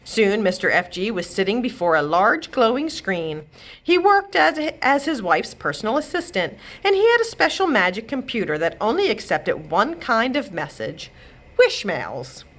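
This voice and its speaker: A woman reading a children's chapter book aloud as a storyteller, with expressive delivery. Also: medium pitch, moderate pace. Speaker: librarian host and storyteller. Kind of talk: children's read-aloud narration.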